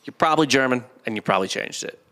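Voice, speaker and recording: Bright-voiced man explaining history casually. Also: mid-range voice, lively intonation, rapid-fire. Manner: explanatory, joking, informal with swearing. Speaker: podcast host. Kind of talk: casual comedic history chat.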